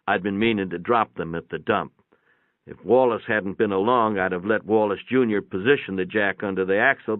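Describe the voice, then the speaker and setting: Male narrator, low pitch, folksy plain delivery, dramatic story reading, thin phone-like sound. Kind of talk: dramatic reading of a first-person short story. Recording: boxy room echo, tinny phone-like sound.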